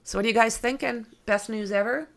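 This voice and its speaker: A woman with a creaky voice hosting a true crime livestream, reading aloud and chatting informally with viewers as she thinks aloud. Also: a little high, steady tone, a little fast. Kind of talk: reading documents aloud with casual asides to viewers.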